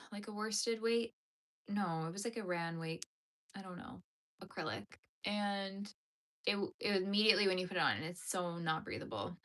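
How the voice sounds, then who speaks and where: A woman in her early thirties talks casually as she knits. Her speech rambles and is full of fillers, at an everyday middle pitch. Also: pushed and bright. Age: 30s. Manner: rambling, chatty, lots of fillers. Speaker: knitting channel host. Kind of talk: casual chat while knitting.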